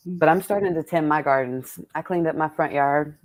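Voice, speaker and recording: Very low-voiced woman, casual and thoughtful. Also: normal speed. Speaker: poet hosting an event with her readers. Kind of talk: casual reflective conversation.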